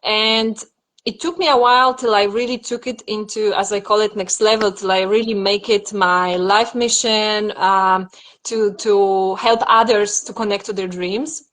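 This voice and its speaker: A woman's voice: medium pitch, fairly even intonation, a little slow, fairly bright tone. A young woman, not an English speaker, telling her personal story in a casual interview, reflective and full of fillers and restarts. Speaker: dreamwork and yoga nidra teacher.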